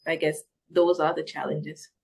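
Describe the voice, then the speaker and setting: A young adult woman with a slightly low voice answers interview questions reflectively. She sounds earnest and thinks aloud, often halting as she works toward her point. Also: flat delivery, darkish tone, slightly rough. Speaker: missionary interviewee.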